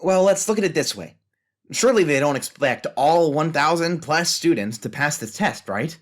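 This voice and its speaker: A man's voice: on the high side, expressive, normal speed, close to an everyday voice. A man playing a thoughtful, self-doubting teenage novice fighter in an audio drama, earnestly reasoning things out. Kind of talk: fan audio drama acting.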